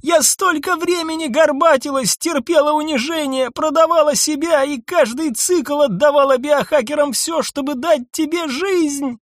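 A man's voice: very high voice, takes it slowly, dry recording, clean studio sound, voice pushed up, heavily acted. A male narrator voicing a wounded, exhausted woman who pleads and sobs. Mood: defiant. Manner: sobbing, pleading. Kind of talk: audiobook character voice.

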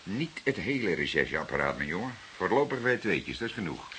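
Deep-voiced, dryly sardonic police detective. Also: male voice, big pitch swings, fairly bright tone. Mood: dry, firm. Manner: deliberate, dryly sardonic, formal. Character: male senior police detective, dry, probing, unhurried authority. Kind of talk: Dutch radio drama acting. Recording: hissy old radio play recording.

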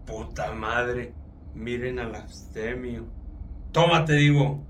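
Slow-talking, boozy older Mexican doctor played by a man. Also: medium pitch, far from the mic, a little hiss or hum, natural voice. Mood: sentimental, gruff. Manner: crude, pushy, rambling. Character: drunk, insistent, foul-mouthed older family doctor. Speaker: radio drama actor. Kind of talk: radio drama acting.

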